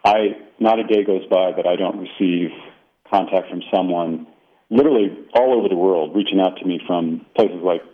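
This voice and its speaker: A man's voice: low-pitched, moderate pace. A middle-aged man, an author who grew up speaking Italian, talking reflectively in a radio interview with many fillers and restarts. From Colorado, United States. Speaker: author guest. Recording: tinny phone line.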